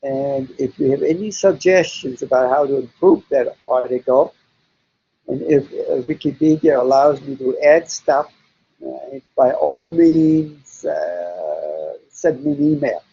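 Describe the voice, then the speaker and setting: An older man, a native German speaker, answering interview questions slowly and deliberately, with frequent hesitations. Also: mid-range voice, creaky, warm tone, breathy edge. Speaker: interviewee psychologist. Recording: dull lo-fi recording.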